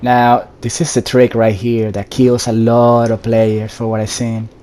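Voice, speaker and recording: A man's voice: mid-range voice, little pitch movement, measured pace, dark tone, a little hiss or hum. A man giving casual solo gameplay commentary, addressing viewers directly with instructions as he plays. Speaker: gaming video host.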